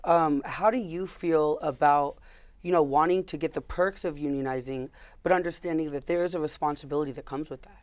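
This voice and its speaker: A young man hosting a public affairs interview show, relaxed and loose with fillers and repeated words. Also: on the high side, brisk, dry recording. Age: young adult. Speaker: host.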